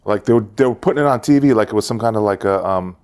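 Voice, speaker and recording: A man's voice: on the low side, racing, a little mellow, smooth. A man in his forties co-hosting a casual podcast, rambling and joking, often trailing off mid-sentence. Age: 40s. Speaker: podcast co-host. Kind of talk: casual chat.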